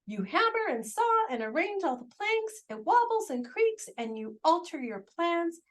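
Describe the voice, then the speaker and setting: A woman's voice: very high voice, big pitch swings, measured pace, voice pushed up, heavily acted. A woman voicing an earnest, musing male carpenter in a storybook, who is easily exasperated.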